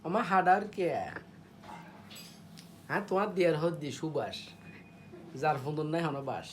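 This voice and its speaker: A man's voice: a little high, expressive, moderate pace. Male prank caller, teasing and persistent, recorded with noticeable noise. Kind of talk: casual teasing phone chat. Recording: clearly noisy background.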